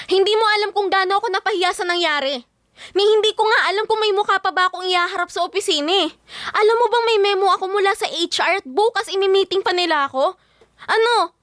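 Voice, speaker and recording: Young office worker, very high voice, Filipino accent, flustered, exasperated, emotional audio drama delivery. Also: female voice, fairly bright tone, no echo, clean studio sound. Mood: upset, exasperated. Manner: pleading, emotional, indignant.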